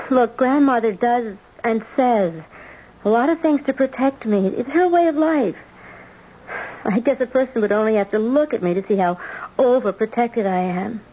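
Radio drama: actress as shy, resolute eighteen-year-old girl, fairly high voice, dull vintage sound. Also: moderate pace, mellow, lightly acted. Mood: determined. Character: sheltered eighteen-year-old heiress, shy but determined granddaughter. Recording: muffled old radio recording.

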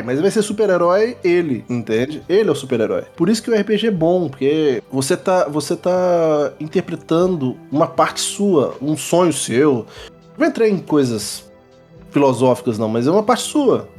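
A man's voice: fairly high pitch, very animated, moderate pace, darkish tone, faint music behind. A Brazilian man speaking as a guest psychologist in a casual podcast, explaining things colloquially with repetition and little check-in questions.

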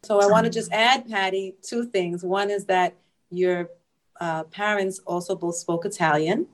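A woman's voice: mid-range voice, steady tone, a little slow. A woman co-interviewer prompting a guest to share more in a casual way.

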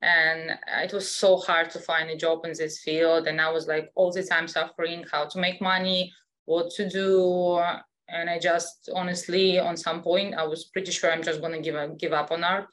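Russian-accented woman, chatty and lowish. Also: flat delivery, moderate pace, fairly bright tone. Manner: chatty, many fillers and restarts, non-native grammar. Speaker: guest, scientific illustrator. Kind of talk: casual interview chat.

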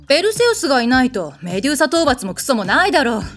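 A woman playing a haughty, short-tempered goddess in a comedy audio drama, brusque and imperious in rough phrasing. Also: high-pitched, sing-song, fast talker, soft background music. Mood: irritated.

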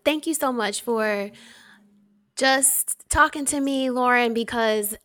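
A woman with a soft voice hosting an interview, talking in a gentle, reflective way with many fillers. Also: a little high, takes it slowly. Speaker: podcast host. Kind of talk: casual interview chat.

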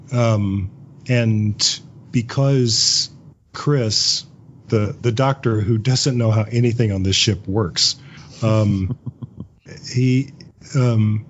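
A man's voice: fairly low pitch, muffled recording, slight background noise. A man speaking as a writer and voice actor being interviewed, thoughtful and conversational, acting out imagined lines as he explains. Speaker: interview guest, audio drama writer and voice actor.

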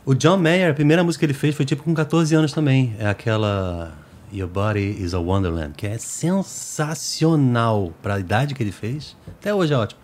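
A man's voice: mid-range voice, moderate pace, a little mellow. A man with a Brazilian Portuguese accent hosting a casual chat, agreeing easily and adding his own stories. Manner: chatty, agreeing, drops in anecdotes.

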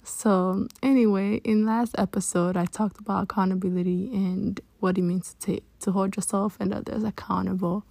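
Young woman with gravelly vocal fry. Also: mid-range voice, fairly even intonation, moderate pace, few pauses, warm tone, no room sound. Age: early 20s. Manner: rambling, chatty, many restarts. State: voice lower than usual from being up long. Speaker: podcast host. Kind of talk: casual solo podcast chat.

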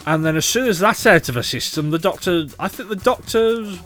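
A man co-hosting a podcast in casual chat, animated and opinionated, quick to joke. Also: high voice, soft background music. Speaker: podcast co-host.

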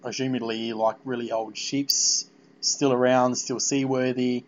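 Male Australian podcast host, mid-pitched, conversational interviewer delivery, slightly dull recording. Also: little pitch movement, measured pace. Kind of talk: casual interview chat.

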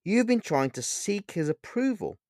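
A man voicing a distraught man in a fantasy story, pleading and accusing with emotional, halting speech. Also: high-pitched, big pitch swings, darkish tone, no room sound, studio quality, pitched above the performer's own voice. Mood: insistent, realizing. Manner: emotional, halting, repeating words. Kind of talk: dramatic fantasy storybook dialogue.

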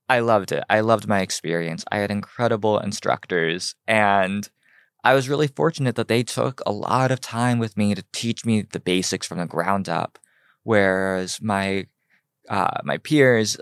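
A young American man speaks in a low, smooth, resonant voice. He tells his story in an earnest, measured way. The sound is dry and close. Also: no echo. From United States (Ohio). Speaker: guest, fashion designer. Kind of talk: podcast interview.

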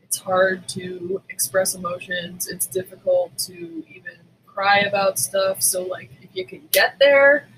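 A woman podcast co-host chatting casually, telling stories in a candid, animated, sweary way. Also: medium pitch, unhurried, few pauses, a little hiss or hum, low-quality recording. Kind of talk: casual chat.

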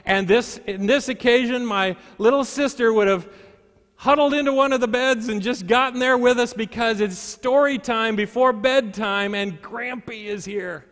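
High-pitched male pastor preaching in a reverberant hall. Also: moderate pace, a bit bright. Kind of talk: sermon with scripture reading and storytelling. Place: large church sanctuary. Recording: echoey hall with long reverb.

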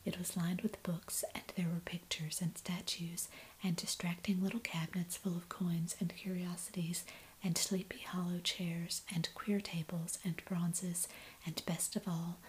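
A woman reading a classic novel aloud in a soft, unvoiced ASMR whisper. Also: on the low side, flat delivery, unhurried, slight background noise, rough recording. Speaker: audiobook reader.

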